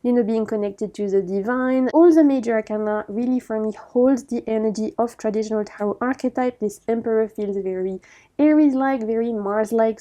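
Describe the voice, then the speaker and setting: Woman, casual review talk, enthusiastic, self-correcting, mellow. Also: medium pitch. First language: not Chinese. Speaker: tarot deck reviewer.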